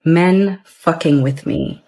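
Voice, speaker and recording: Blunt gravelly woman in her fifties. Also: low voice, slow pace, dark tone. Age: 50s. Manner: blunt, fed up. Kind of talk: casual video confessional.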